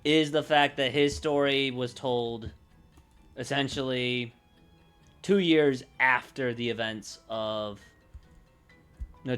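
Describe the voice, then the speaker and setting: A man livestreaming casually, thinking aloud in a halting, unscripted way as he works through ideas. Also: mid-range voice, fairly bright tone, clean tone. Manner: halting, pausing to think and correct himself. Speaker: livestreamer brainstorming a roleplaying campaign.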